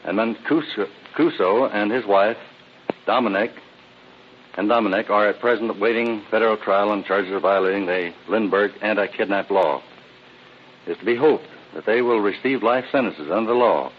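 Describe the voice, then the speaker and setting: A man giving a formal, scripted radio address as a police captain, reading a little haltingly in 1930s style. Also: fairly low pitch. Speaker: police captain, guest commentator. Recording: dull noisy old radio recording.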